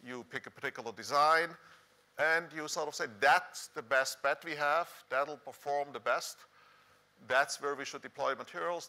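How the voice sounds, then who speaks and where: A man's voice: mid-range voice, creaky, sharp tone. A middle-aged man with a Swiss accent giving a conference presentation in a conversational manner. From Switzerland. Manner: conversational, rhetorical questions to the audience. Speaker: conference speaker, professor. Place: large dark conference hall stage, indoors.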